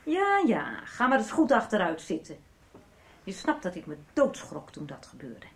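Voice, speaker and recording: A woman's voice: medium pitch, huge pitch swings, moderate pace. An actress in a 1990s radio soap playing a menacing, scornful woman who taunts and commands as she presses a man for information. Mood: taunting.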